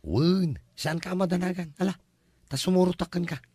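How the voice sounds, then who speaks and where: A man's voice: high-pitched, normal speed, warm tone, slightly rough, no room sound, studio quality. A man with an Ilocano accent playing an exasperated, teasing countryman in a comedy-drama.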